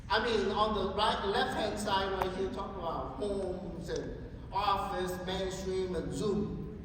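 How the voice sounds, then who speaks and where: A man's voice: high voice, a bit bright. Man, sales trainer, joking storyteller, far from the mic, heavy hall echo, background noise. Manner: joking, storytelling, keeps checking in with the audience. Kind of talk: motivational sales training talk.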